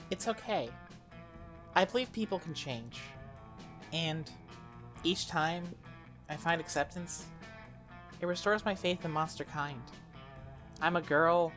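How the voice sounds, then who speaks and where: A woman playing a cheerful former deity turned monster high-school girl, earnest and expressive, in a game fan dub. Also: measured pace, long pauses, music in the background. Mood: tender, sincere.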